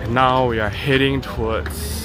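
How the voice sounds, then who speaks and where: Man, unhurried and easily wowed, narrating a night walk over blaring music. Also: medium pitch, steady tone. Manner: gushing, easily amazed, chatty. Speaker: vlogger. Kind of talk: casual walking tour commentary. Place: outdoor waterfront light exhibit at night. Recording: phone recording outdoors with loud music. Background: loud music.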